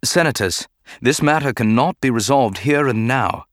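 A man performs a grave, guarded Jedi master for a science-fantasy audiobook. He speaks formally and with restraint, in a dry recording at a middling pitch. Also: expressive, normal speed, a bit bright, no echo, close to an everyday voice. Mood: firm. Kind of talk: dramatic audiobook character acting.

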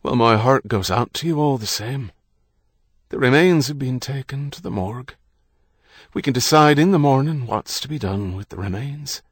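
Priest, played by a male narrator: folksy, gentle on the surface, prying underneath, audiobook story, close dry sound. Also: mid-range voice, normal speed, husky, no room sound, pitched above the performer's own voice, natural voice. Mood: brisk, accusatory. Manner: gentle, folksy, faintly accusatory. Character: Irish priest, solicitous yet prying and blunt.

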